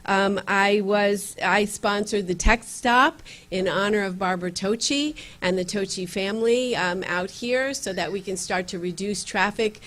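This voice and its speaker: A county legislator, a woman, addresses a community meeting in a room and pauses often with fillers and restarts. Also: medium pitch, a bit bright. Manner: earnest, with frequent fillers and restarts. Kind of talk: campaign speech at community meeting.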